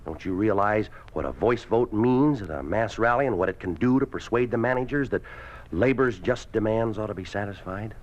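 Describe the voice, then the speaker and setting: A deep-voiced communist official, played by a male actor, smooth and domineering, curt when giving orders and slick when persuading. It is 1950s radio drama acting, heard through a dull, muffled old recording. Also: normal speed, natural voice. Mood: slick, pressing.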